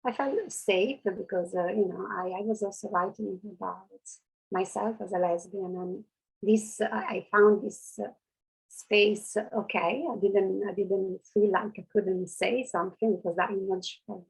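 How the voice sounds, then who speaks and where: A woman who grew up outside Canada speaks on a workshop video call in a husky, gravelly voice. Her delivery is flat and hesitant, full of fillers. She pauses often as she finds her words. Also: medium pitch, low-quality recording. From not Canada. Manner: hesitant, many fillers, laughs nervously. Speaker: workshop participant. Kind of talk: casual group talk on a video call.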